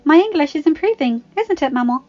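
Woman voicing an eager, high-pitched teenage girl. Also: animated, fairly quick, a little mellow, highs cut off, faint music behind. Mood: eager, cheeky. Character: cheeky, eager fourteen-year-old French girl, anxious and loving.

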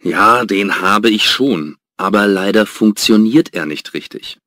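A man playing a young worker who answers slowly and plainly in an exam dialogue. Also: lowish voice, pushed and bright, no echo. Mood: apologetic. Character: young male worker explaining his taxi ride.

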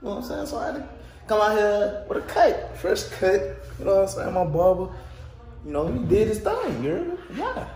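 A man's voice: very animated, brisk, darkish tone. A young man, chatting casually and slangily in an upbeat, emphatic way as he vlogs. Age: young adult. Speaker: vlogger. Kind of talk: casual vlog chat. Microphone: phone or action camera at arm's length. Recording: noisy handheld camera audio with ambient rumble.

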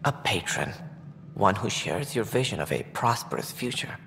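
A man voicing a calculating, self-important alien director in a video game, with a formal, measured, pompous manner. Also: on the low side, animated, creaky, bright, forceful tone, big-room sound, a little hiss or hum, exaggerated voice. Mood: flattering.

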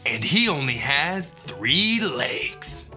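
A man in his thirties reading a children's book aloud as a comedic host, playful and prone to loud high braying. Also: sing-song, extremely slow, soft background music. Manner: playful, bursts into loud high donkey brays. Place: indoor studio with yellow couch.

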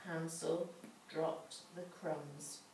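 Woman, middle-aged, dramatic storytelling, unhurried, distant mic, some background noise. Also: low voice, fairly even intonation, warm tone, echoey room. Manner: dramatic, with pauses and questions to the child. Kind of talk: expressive storytelling read aloud to a child. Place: large indoor school room with hard floor. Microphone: distant camera microphone.

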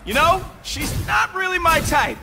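A cocky wisecracking space outlaw. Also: male voice, extremely high pitch, sing-song, unhurried, raised voice, exaggerated voice. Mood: defiant. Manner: wisecracking, brash. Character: cocky joking space outlaw man, adult. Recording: game audio with clear noise.

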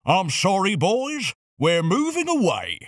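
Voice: mid-range voice, wildly swooping pitch, takes it slowly, no echo, clean studio sound, pitched above the performer's own voice, heavily acted. A narrator voicing an apologetic boss in a children's storybook, with a regretful manner. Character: apologetic boss delivering bad news.

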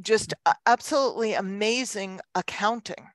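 An older woman answering questions as a guest lecturer, explaining thoughtfully and haltingly in an informal talk. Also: medium pitch, dry recording. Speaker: guest lecturer, genealogy researcher. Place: indoors on a video call. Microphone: headset microphone.

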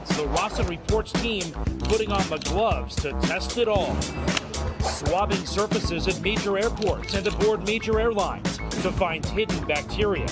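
A man in his forties reporting a consumer news story, energetic and emphatic, moving from studio to airport to plane cabin. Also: high voice.

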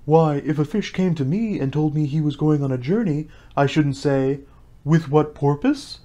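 A man voicing a slow, doleful, easily offended turtle creature from a storybook, with drawn-out, ponderous delivery. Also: fairly high pitch, mellow, dull audio, close to an everyday voice. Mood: huffy, solemn. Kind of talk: storybook character voice.

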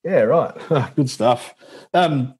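A young Australian man hosting a casual interview, laid-back and matey, full of quick agreements. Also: mid-range voice, darkish tone, husky. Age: young adult. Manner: laid-back, matey, lots of "yeah, right". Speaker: interview host.

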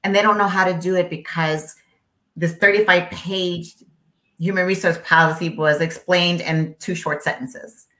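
A woman's voice: lowish voice, steady tone, normal speed, fairly bright tone. A woman whose first language is Spanish, an interpreter, explaining emphatically as a guest on a video call with many rhetorical questions. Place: home office. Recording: echoey room on video call.

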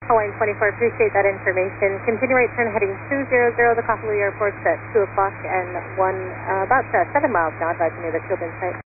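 Female air traffic controller, brisk pace, procedural instructions, radio effect, noisy channel. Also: medium pitch. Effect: aviation radio. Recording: phone-like radio channel, clear static hum.

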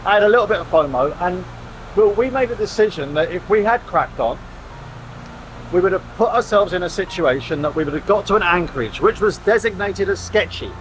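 A man's voice: high voice, lively intonation, a little fast, slight background noise, low-quality recording. A man in his forties chatting casually to a handheld camera aboard a catamaran, with wry, rambling asides. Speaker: sailing vlogger. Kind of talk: casual vlog narration. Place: aboard a catamaran, outdoors and in the cockpit. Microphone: handheld camera close to face.

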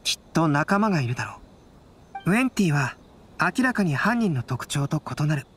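A woman voicing a calm, analytical young man in an anime game, composed and measured. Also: low voice, a little hiss or hum. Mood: calm reasoning. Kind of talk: Japanese anime game voice acting. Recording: background music behind voice.

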